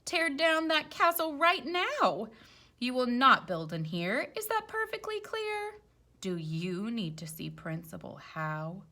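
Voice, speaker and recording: A woman voicing a stern, easily panicked female schoolteacher from a children's storybook, in a sharp, emphatic, dramatic manner. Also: on the high side, sing-song, takes it slowly, pitched above the performer's own voice, exaggerated voice. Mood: angry, scolding. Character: stern, scolding, easily panicked female second-grade teacher.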